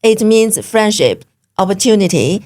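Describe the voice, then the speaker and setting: A woman from China speaking English with a Chinese accent as an interview guest, pausing often with fillers. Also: medium pitch, expressive, darkish tone. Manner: hesitant, many fillers, simple English. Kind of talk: interview conversation.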